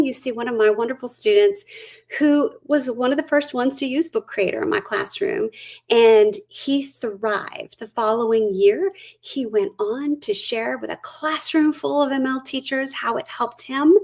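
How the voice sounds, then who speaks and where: A woman's voice: fairly high pitch, darkish tone. A woman in her forties from Brazil, a curriculum lead giving an upbeat webinar presentation with personal stories over a computer mic. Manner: upbeat, eager, personal storytelling.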